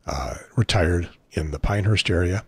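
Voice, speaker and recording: A middle-aged man with a Chicago accent chats casually as a talk show host, telling stories with dry humor. His voice is husky and fairly low. The sound is dry and close, with no room echo. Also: lively intonation, normal speed. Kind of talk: casual chat.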